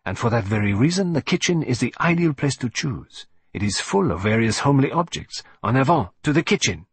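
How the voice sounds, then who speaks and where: A man's voice: medium pitch, big pitch swings, a little fast, slightly rough, dry recording, heavily acted. A man voicing a fussy, vain middle-aged male detective who speaks in a precise, theatrical, self-assured way. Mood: excited.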